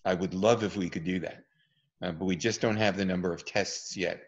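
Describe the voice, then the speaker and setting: A man's voice: sits low, a little fast. A man presenting an online talk and answering questions, explaining at an easy pace with lots of ums and uhs. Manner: relaxed, explanatory, with many fillers. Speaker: presenter, physician. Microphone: video call. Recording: dull lo-fi video call audio.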